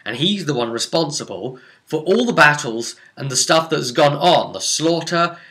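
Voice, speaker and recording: A man's voice: fairly high pitch, animated, normal speed, pushed and bright. A man chatting casually and emphatically as a game master recapping a tabletop roleplay campaign on his vlog, with an enthusiastic manner. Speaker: game master presenting a vidcast.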